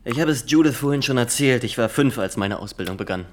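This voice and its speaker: An actor in a German radio drama playing a formal, zealous nineteen-year-old state inspector who questions insistently and haltingly. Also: male voice, mid-range voice, expressive, slight background noise, close to an everyday voice. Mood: defensive, official. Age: young adult.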